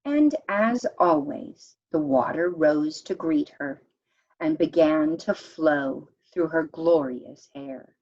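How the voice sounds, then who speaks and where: A woman reading a children's picture book aloud in a measured, expressive storytime manner, as if to young listeners. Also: deep voice, lo-fi sound. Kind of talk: read-aloud storytime narration.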